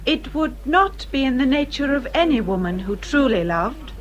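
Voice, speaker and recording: An actress playing a gentle, earnest young English gentlewoman in a period radio drama, polite and thoughtful. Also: high voice, expressive, moderate pace, highs cut off. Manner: polite, soft-spoken, thoughtful.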